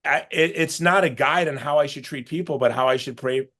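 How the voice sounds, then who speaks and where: Guest voice: middle-aged man from the Chicago suburbs, animated, rambling storyteller with lots of self-corrections. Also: a little high, fairly quick. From Chicago south suburbs. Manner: animated, loose storytelling with frequent restarts. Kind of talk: casual conversation.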